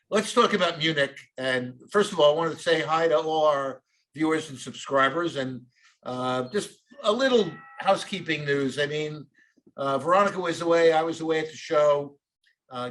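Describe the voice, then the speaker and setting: A man's voice: a little high, fairly bright tone, rough recording. A middle-aged man with a New York accent, co-hosting and telling a long rambling story full of fillers. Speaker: co-host. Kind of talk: casual chat.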